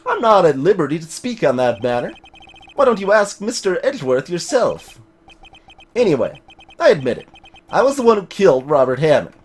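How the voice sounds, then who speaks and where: A man voicing a bitter, vengeful old man in a video game, speaking in a dramatic, deliberate way. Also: sits high, big pitch swings, husky, heavily acted. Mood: defiant.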